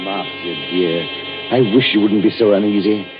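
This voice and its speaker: A low-voiced, devoted middle-aged husband, played by a man, soothes and reasons. It is 1940s radio acting on a muffled recording. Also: moderate pace, music in the background, natural voice. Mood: anxious reassurance. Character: devoted gray-haired husband, gently reassuring.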